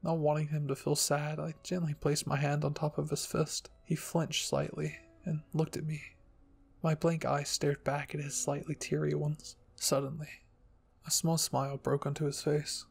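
A man narrating a first-person story in a scripted ASMR reading style, with a husky, gravelly voice. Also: on the high side, little pitch movement, moderate pace. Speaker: fan fiction reader and narrator.

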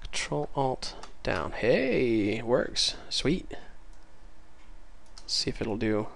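A man with a congested voice from a cold, casually talking through a coding tutorial while typing, chatty and easily excited. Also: medium pitch, extremely slow, vocal fry, slight background noise. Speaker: programming tutorial host.

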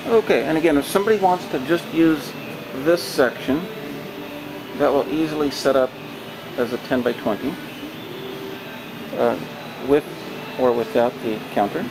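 A man walking through a large warehouse with a handheld camera, describing a used trade show booth for sale in a plain, unhurried way with many pauses. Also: medium pitch, over music. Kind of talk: walkthrough tour. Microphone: handheld camera held by the speaker. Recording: noisy warehouse camera audio.

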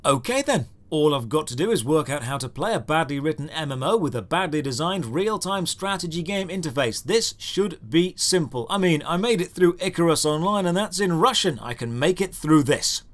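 A man's voice: a little high, animated, normal speed, hardly any pauses. A man narrating a scripted comedic video game review as its host, with a sarcastic, exasperated and emphatic delivery.

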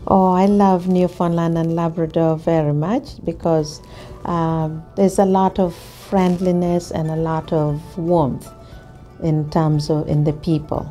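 A middle-aged woman from Uganda speaks to camera in a low, mellow voice. Her delivery is measured and thoughtful, broken by frequent pauses and restarts. Soft music sits quietly behind her. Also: flat delivery. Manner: measured, thoughtful, with many hesitations. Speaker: ESL teacher. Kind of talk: interview to camera. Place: indoor studio, plain grey backdrop.

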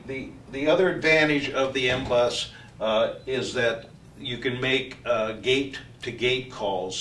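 A man in his sixties giving a technical product demonstration as a trainer, speaking haltingly with many pauses, recorded from a distance in an office meeting room. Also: mid-range voice, fairly bright tone. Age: 60s. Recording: distant mic, echoey room, faint noise.

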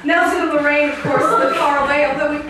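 High-voiced woman acting theatrical anxious mother, stage comedy, distant echoing recording. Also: fairly even intonation, quick pace, background noise, lightly acted. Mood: earnest. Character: melodramatic, anxious wife and mother of a troubled family. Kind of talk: improvised staged comedy reading. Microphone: far camera.

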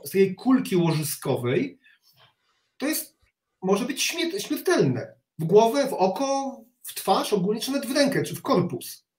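A middle-aged man speaks as an interview guest over a video call. His voice sits a little high, and he talks haltingly, repeating words as he delivers blunt opinions. The room echoes around him as he sits back from the webcam. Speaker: interview guest, reserve army officer. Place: home study with bookshelves, indoors. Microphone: video call, sitting back from the webcam. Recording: roomy, echoing video call.

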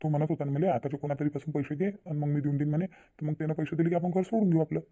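Man voicing a very dark-toned, fast-talking village father. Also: on the high side, steady tone, dull audio, close to an everyday voice. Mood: hesitant, worried. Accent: Vidarbha Marathi. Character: troubled, poor, middle-aged village father, insistent. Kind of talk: Marathi cartoon voice acting.

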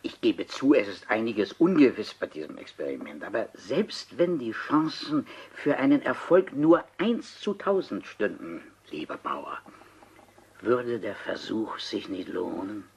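An actor playing an obsessed, coolly persuasive seventy-year-old scientist in a radio crime play, deliberate and insistent, with dramatic pauses. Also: male voice, on the low side, animated, breathy edge, exaggerated voice. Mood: urgent, persuasive. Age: older.

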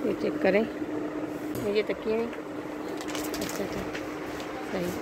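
A woman's voice: medium pitch, fairly even intonation, slow pace, vocal fry. A woman from Pakistan vlogging as she walks through a market shop, upbeat and repeating prices for her viewers. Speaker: shopping vlogger. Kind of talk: casual walkthrough vlog. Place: crowded indoor market shop. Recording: loud market noise.

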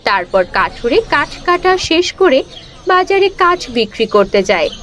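A woman's voice: sits high, very animated, moderate pace, a bit bright. A woman narrating a scripted fairy tale as storyteller.

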